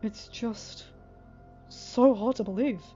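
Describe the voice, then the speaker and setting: An eager young stallion who takes charge, quick and keen, as a woman reader puts him on. Fairly high voice over faint music. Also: mellow, lo-fi sound. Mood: stunned. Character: brave young male pony, takes the lead.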